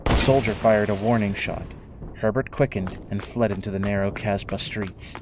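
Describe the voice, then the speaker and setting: Low-voiced man narrating a solemn drama. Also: fairly even intonation, normal speed, noisy background. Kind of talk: scripted dramatic story narration. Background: prominent music.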